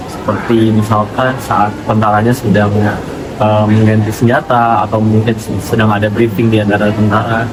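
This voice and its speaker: A man from Yogyakarta gives explanatory, thoughtful answers in an informal interview as a visitor. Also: on the low side. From Yogyakarta, Indonesia. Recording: clearly noisy public-place recording.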